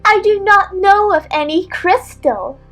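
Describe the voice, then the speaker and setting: A woman voicing a menacing, coolly scornful mare villain with slow, formal phrasing in an animated-pony story. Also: extremely high pitch, faint music behind, pitched above the performer's own voice, exaggerated voice, low-quality recording. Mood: cold, haughty.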